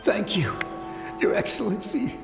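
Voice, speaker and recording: A man's voice: sits high, expressive, a little slow, gravelly, full character voice. A man playing a desperate samurai soldier in a video game, speaking in an urgent, pleading, dramatic way. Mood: awed, grateful. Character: desperate samurai soldier, a ghostly memory of war. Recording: echoey, long reverb, music behind.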